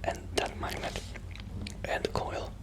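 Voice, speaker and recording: A man playing a shy, modest musician friend in a hesitant, soft-spoken ASMR roleplay. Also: lightly acted. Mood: softly explaining. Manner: hesitant, halting, apologetic.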